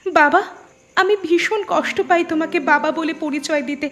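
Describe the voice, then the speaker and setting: A woman's voice: extremely high pitch, steady tone, normal speed, a bit bright. A woman playing a principled young village daughter in a cartoon, earnest and plaintive. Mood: hurt, reproachful. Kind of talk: cartoon voice acting.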